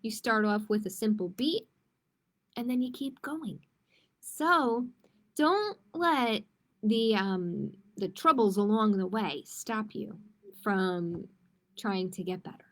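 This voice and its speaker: Slow-speaking woman hosting a children's storytime. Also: medium pitch, animated, fairly bright tone. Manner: simple, encouraging explanations for young listeners. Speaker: library storytime host. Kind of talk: reading picture books aloud to children.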